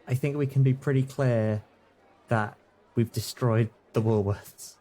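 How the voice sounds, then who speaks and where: A man's voice: mid-range voice, fairly even intonation, measured pace, mellow. A man with a British accent chatting casually as a player in a tabletop game.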